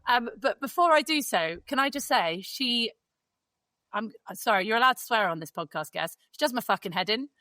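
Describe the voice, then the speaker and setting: A woman's voice: a little high, expressive, fairly quick, bright, forceful tone, no echo. A woman hosting a podcast, bantering and teasing, swearing casually. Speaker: podcast host.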